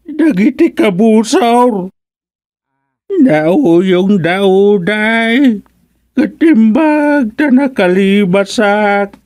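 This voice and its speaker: A man playing a wounded, confused fugitive in a radio drama, weak, halting and pleading. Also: sits high, lively intonation, a little mellow, no room sound, studio quality, exaggerated voice. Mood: agitated, fearful.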